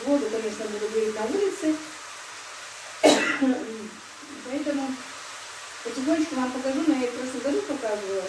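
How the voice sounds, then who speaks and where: A woman's voice: high voice, brisk, long pauses. A middle-aged woman vlogging from her rural home, chatting to viewers in a rambling, homely way and calling them her friends. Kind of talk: casual chatty monologue to viewers. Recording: roomy, from a distance, faint background noise.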